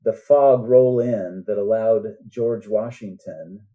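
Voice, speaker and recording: An older man speaking earnestly and deliberately as a novelist guest in a reflective podcast interview. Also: on the low side. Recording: dull, muffled lo-fi sound.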